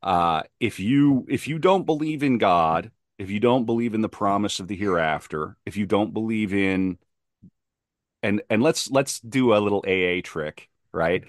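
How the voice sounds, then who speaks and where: A man with a fairly low voice talks as a guest on a casual podcast. He is emphatic and opinionated, doubling back on phrases to drive them home. The sound is dry, with little room around it. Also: animated, moderate pace, dry recording. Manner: emphatic, opinionated, repeats himself.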